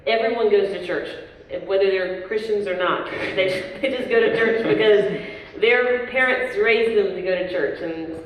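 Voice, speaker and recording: Play her as a young Southern woman, chatty and warm-humored, with the sound of a roomy hall and faint hiss around her. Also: mid-range voice, unhurried. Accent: Southern American. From southern United States. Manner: chatty, self-deprecating, laughs often, restarts. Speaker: worship leader, interview guest. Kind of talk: casual interview chat. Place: large hall at a worship event. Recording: echoey hall, voice from far off, faint noise.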